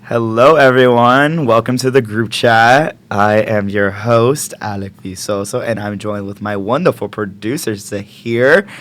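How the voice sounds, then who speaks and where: A man in his twenties from Miami hosting a casual podcast chat in an excitable, slangy way. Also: medium pitch, measured pace, hardly any pauses. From Miami, United States. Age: 20s. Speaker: podcast host.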